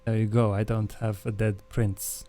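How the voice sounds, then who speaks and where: A man plays a vampire survivor in a tabletop game with a dark, mellow voice set fairly low. He is calm and wry, speaking in a measured, understated way. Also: close to an everyday voice. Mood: candid. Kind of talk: in-character tabletop roleplay.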